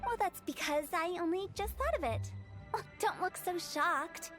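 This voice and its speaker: A woman voicing a mischievous young female funeral director who makes cheerful, macabre sales pitches in a game. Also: animated, moderate pace, fairly bright tone, soft background music. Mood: teasing. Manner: teasing, salesy, darkly playful. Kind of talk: video game voice acting.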